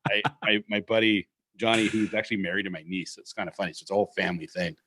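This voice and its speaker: A man with a deep voice hosting a casual podcast chat, animated and swearing freely, often repeating words as he gets going. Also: rapid-fire, a little hiss or hum. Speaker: podcast host. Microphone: studio podcast mic.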